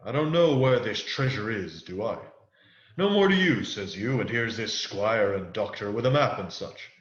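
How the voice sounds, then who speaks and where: A man's voice: on the low side, lively intonation, normal speed, lightly acted. A man playing a wily, swaggering pirate ship's cook in a radio play, talking in boastful, salty pirate dialect. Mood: scheming, impatient. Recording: echoey room, dull muffled sound.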